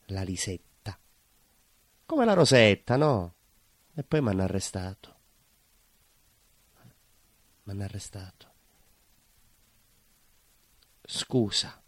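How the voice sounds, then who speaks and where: A jailed baker in a stage monologue, with a lowish voice and a Calabrian southern Italian accent. He is bitter and haunted, and speaks in short, insistent, repeated phrases. The sound is dry and close. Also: sing-song, unhurried, no room sound. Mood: despairing, wistful. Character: imprisoned Calabrian-emigrant baker, bitter, lovelorn, haunted, simmering with rage. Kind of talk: theatrical dramatic monologue.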